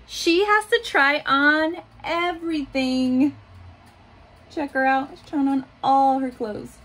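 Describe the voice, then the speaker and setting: A woman in her thirties reading a children's picture book aloud in simple, deliberate phrases, adding little asides for young listeners. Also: high voice, steady tone, fairly bright tone, soft background music. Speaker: school staff member reading aloud to pupils. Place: small home office room, indoors. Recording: noticeable room noise behind voice.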